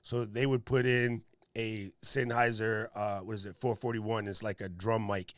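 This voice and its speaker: A man with a deep radio voice, co-hosting a casual tech talk and making his points in an emphatic, punchy way. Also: level tone, normal speed, dry recording. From Hawaii resident. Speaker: streaming show co-host.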